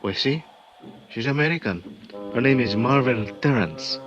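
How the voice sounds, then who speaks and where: An actor doing a halting Spanish-accented Mexican official. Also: male voice, mid-range voice, expressive, over music, close to an everyday voice. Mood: curious. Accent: Spanish-accented English. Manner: halting, searching for English words, with "uh" fillers. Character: earnest Mexican male official at a crash site. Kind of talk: 1950s radio drama acting. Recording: dull old radio transcription with faint hiss.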